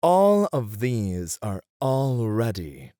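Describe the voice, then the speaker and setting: A man playing a suave, playfully formal etiquette tutor in an ASMR roleplay, with theatrical, deliberate, flowery phrasing. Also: fairly low pitch, big pitch swings, warm tone, dry recording, studio quality, exaggerated voice. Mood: courtly, instructive.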